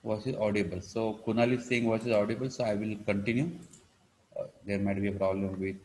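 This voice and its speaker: Indian man lecturing, halting and repetitive. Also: on the low side, fairly even intonation, a little mellow, a little hiss or hum. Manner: halting, repetitive, punctuated with check-in words like "right" and "you know". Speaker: engineering lecturer. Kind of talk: live online lecture, explaining calculations.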